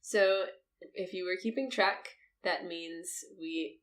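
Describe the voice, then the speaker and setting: A woman's voice: lowish voice, slow pace. A woman co-hosting a podcast, chatting casually in an upbeat way and laughing often. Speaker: podcast co-host. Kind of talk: casual chat.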